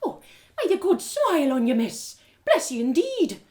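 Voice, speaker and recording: A woman's voice: high voice, sing-song, normal speed, a little mellow, voice pushed up. A woman voicing a humble, anxious beggar woman with a folksy, deferential manner in a storybook reading. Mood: pleading, grateful.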